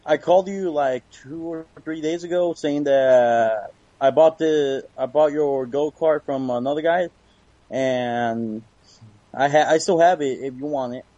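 A man's voice: on the high side, a little slow, mellow, no echo. A man doing casual, crude prank-call banter over a muffled lo-fi voice chat. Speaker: prank caller on a call show.

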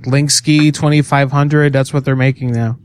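A man's voice: mid-range voice, level tone, moderate pace, slight background noise. A man making a prank call, talking in a crude, mocking fake-salesman patter. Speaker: prank caller.

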